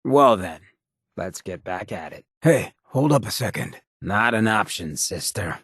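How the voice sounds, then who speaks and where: An actor playing a roguish ghoul mayor in a video game, a swaggering former drifter with a very raspy, gravelly voice and a cocky, streetwise drawl. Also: male voice, on the low side, animated, unhurried, fairly bright tone, no room sound, studio quality, exaggerated voice. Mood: candid, cocky. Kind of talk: video game companion dialogue.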